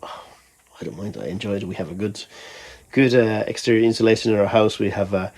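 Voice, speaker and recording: Low-pitched man from Finland, rambling casually. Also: brisk, darkish tone. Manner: rambling, conversational, thinking aloud. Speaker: musician vlogger. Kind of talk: casual vlog talk.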